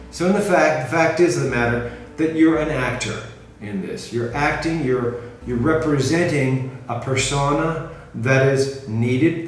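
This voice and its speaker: A middle-aged American man from California, talking casually to the camera in a measured way and often rephrasing himself. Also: medium pitch. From California, USA. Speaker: freelancer presenting himself. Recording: very echoey room, mic at a distance, music behind.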